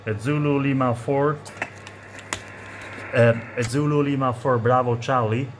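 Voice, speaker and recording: An Italian man with a strong native accent works as a ham radio operator, speaking at a medium pitch. He spells in phonetic alphabet and repeats himself in procedural phrases. A faint noise sits behind his voice in the room. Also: steady tone, a little slow. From Italy. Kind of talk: ham radio contact with phonetic call signs. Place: indoors at a radio set. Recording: noisy handheld room recording.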